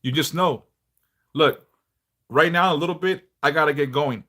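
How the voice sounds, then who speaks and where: A middle-aged man a big bass voice, chatting bluntly and passionately on a livestream, swearing freely. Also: moderate pace, bright, forceful tone. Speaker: livestream host, devotional teacher. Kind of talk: casual livestream chat.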